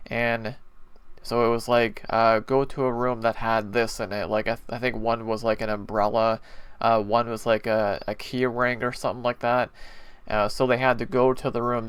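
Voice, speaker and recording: A man giving a casual, rambling spoken review of a TV episode, chatty with frequent pauses and fillers, his nose a little stuffy. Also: medium pitch, fairly bright tone, clean tone, no room sound. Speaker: TV show reviewer.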